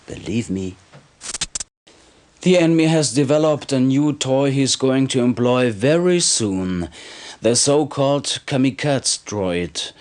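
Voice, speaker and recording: An actor playing a sly, self-serving underground informant in a video game briefing, talking in an insinuating, conspiratorial way. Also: male voice, lowish voice, darkish tone. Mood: sly, persuasive. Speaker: informant character in a game cutscene.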